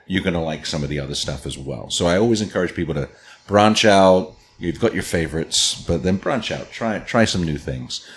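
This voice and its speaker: A man from Scotland, raised near London, talking casually as a guest on a podcast, with a relaxed, rambling way of speaking. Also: sits low, a little hiss or hum. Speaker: distillery founder, guest.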